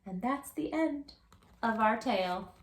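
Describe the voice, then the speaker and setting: A woman hosts a children's storytime in a fairly high voice. She reads expressively and playfully, speaking straight to her young listeners. The room around her is noticeably echoey. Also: a little slow. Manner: animated, playful, talks directly to young listeners. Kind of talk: expressive picture-book read-aloud for children.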